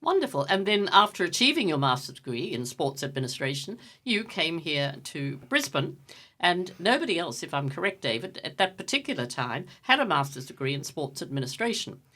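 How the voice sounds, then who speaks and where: Woman radio host, deep voice with a lively, animated lilt, polished interviewer. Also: fairly quick.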